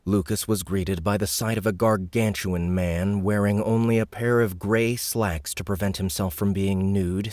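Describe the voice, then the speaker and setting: A man narrating an audiobook in an expressive storytelling manner, close to the mic. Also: sits low, dry recording. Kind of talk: scripted narration.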